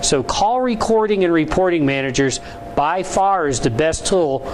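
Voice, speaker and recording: Higher-voiced salesman presenting over background noise. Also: expressive, moderate pace. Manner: instructional, salesman-like. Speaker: business phone tools sales presenter. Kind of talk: informal promotional explainer. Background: ambient vehicle noise in a motorcycle service department.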